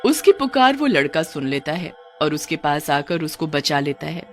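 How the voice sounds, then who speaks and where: A woman's voice: sits low, big pitch swings, normal speed, soft background music. A woman narrating a scripted cartoon horror story as its storyteller.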